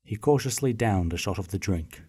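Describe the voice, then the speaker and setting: A man's voice: on the low side, animated, moderate pace, over loud music. A man reading scripted storybook narration for a radio play.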